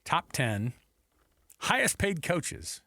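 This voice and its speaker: A man's voice: a little high, huge pitch swings, slow, creaky, pushed and bright, dry recording, studio quality. A man hosting a sports radio show with casual, teasing banter. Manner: playful, teasing.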